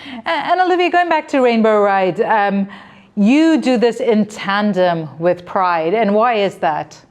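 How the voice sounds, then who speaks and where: A middle-aged woman hosting a TV interview show in a studio, asking questions smoothly. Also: medium pitch, animated, measured pace. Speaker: host.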